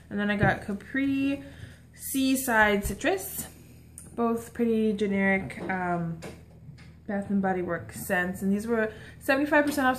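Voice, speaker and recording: A woman's voice: mid-range voice, a little hiss or hum. A young adult woman chatting casually and informally to the camera as a shopping vlogger. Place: small room at home, indoors.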